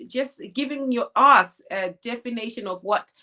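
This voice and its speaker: A woman's voice: fairly high pitch, normal speed, bright, forceful tone. A woman co-hosting a live online talk show over a video call, cheerful and encouraging toward her audience and guest. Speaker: co-host.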